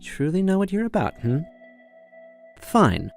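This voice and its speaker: An actor playing a smug, quietly sadistic schemer in an audio drama, a man with a drawling, insinuating manner. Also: high-pitched, wildly swooping pitch, measured pace, gravelly, soft background music, full character voice. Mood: smug, resigned. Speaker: doctor's colleague in an audio drama.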